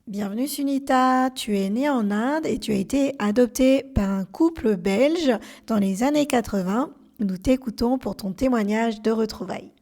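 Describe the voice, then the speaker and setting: A woman host opens a podcast episode with a measured, read-out introduction. Her voice sits fairly high, and she welcomes her guest in an even, composed way.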